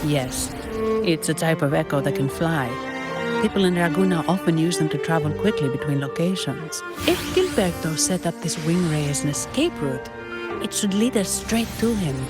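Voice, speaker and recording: Low-pitched, composed woman. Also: animated, moderate pace. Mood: matter-of-fact, thoughtful. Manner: matter-of-fact, understated. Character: composed, weary female bank employee and guide. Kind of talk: video game voice acting. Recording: loud music behind the voice.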